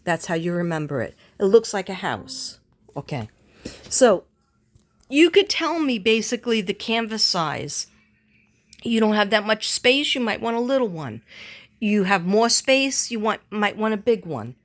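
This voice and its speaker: Slow-talking woman, casual and rambling. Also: mid-range voice. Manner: unhurried, meandering, thinking aloud. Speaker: psychic reader and vlogger. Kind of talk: casual rambling vlog talk to viewers.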